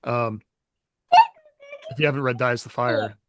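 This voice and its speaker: A man's voice: medium pitch, steady tone, a little slow, fairly bright tone, a little hiss or hum. A man co-hosting a podcast, easygoing, chuckling and trailing off mid-thought. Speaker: podcast co-host. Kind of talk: casual podcast discussion.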